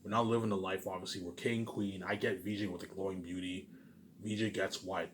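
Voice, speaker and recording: Fast-talking man in an emphatic, rambling monologue. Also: deep voice, little pitch movement, a little hiss or hum. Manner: repetitive, circling back over phrases, often tacking on "obviously"; emphatic, swearing. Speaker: vlogger.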